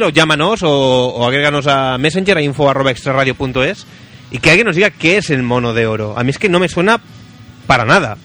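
Bright, high-voiced Spanish man hosting radio. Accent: Spain. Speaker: radio show host. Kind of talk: casual radio chat, reading listener emails.

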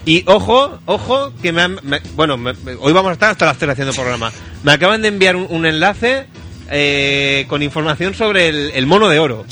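Bright, high-pitched man from Spain chatting. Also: fairly quick, soft background music. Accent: Spain Spanish. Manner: crude, jokey, excitable. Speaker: radio host. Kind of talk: casual comedic radio chat.

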